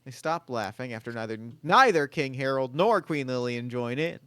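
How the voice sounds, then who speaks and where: A man's voice: mid-range voice, animated, moderate pace, sharp tone, clean tone. A man narrating scripted stage directions at a comedic table read.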